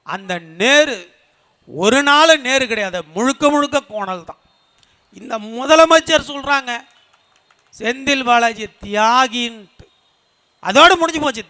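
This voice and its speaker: Guy yelling a rally speech, really high voice, keeps repeating lines and firing questions. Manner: rhetorical questions and hammering repetition. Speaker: party speaker at a public meeting. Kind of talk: fiery political rally speech.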